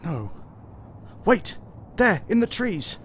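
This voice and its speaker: A man plays a reluctant gentleman marksman, polite and nervous. His voice sits fairly high, with faint gunfire ambience behind it. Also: big pitch swings, slow, slight background noise, full character voice. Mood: alarmed. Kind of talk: audio drama acting.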